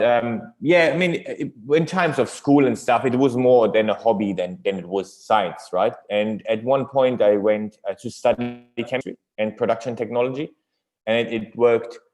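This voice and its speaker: A man, answering interview questions in halting, English and searching for words. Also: mid-range voice, a little mellow. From Iran until age six, then Germany. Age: 30s. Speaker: company owner being interviewed.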